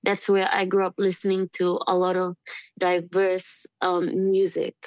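A young woman from Fiji with a Fijian accent, a singer answering interview questions shyly and haltingly. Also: lowish voice, monotone, darkish tone, dry recording. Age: young adult. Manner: shy, laughs often, halting. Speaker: singer, guest. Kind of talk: casual interview.

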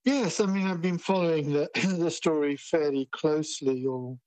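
A middle-aged man from Australia speaks as an academic guest in a radio interview, thinking aloud with many restarts and pauses. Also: a little high, a little mellow, no echo, low-quality recording. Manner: hesitant, many fillers and restarts.

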